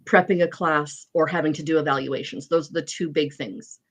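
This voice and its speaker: A woman in her forties sharing openly in an online recovery meeting. Her voice sits fairly low, and she speaks in a halting way, pausing often and searching for words as she goes. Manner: open, halting, many pauses and fillers. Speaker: meeting participant. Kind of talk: casual chat.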